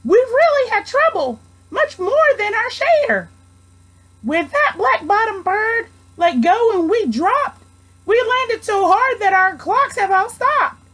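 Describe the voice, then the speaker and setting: A woman's voice: extremely high pitch, big pitch swings, slow, fairly bright tone, pitched above the performer's own voice, heavily acted, rough recording. A woman voicing the tiny, plaintive mayor of a speck-sized people in a storybook, pleading for help. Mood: distressed pleading.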